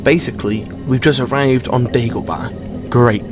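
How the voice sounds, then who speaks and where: A man's voice: mid-range voice, normal speed, lightly acted. A man playing a sarcastic, exasperated young travelling companion in a sci-fi audio drama. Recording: noisy ambience behind the voice.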